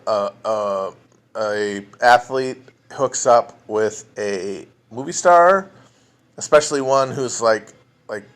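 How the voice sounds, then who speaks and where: A man's voice: medium pitch, fairly bright tone. Rambling, profane man chatting. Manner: rambling, profane, lots of restarts and trailing off. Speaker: podcast host answering chat. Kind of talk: casual livestream chat. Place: home, alone at a phone or computer.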